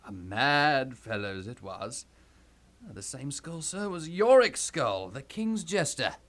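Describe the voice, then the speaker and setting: A man's voice: mid-range voice, sing-song, slow pace, a bit bright. A man playing a cheerful, cheeky gravedigger in a puppet-show Shakespeare, with a chuckling, singsong, rustic manner. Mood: cheeky, amused.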